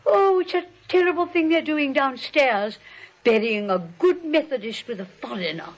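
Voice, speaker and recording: An actress playing a sweet, prim old aunt, genteel and blithely matter-of-fact in 1940s radio style. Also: female voice, high voice, expressive, normal speed. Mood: fretful. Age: older. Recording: muffled old radio recording.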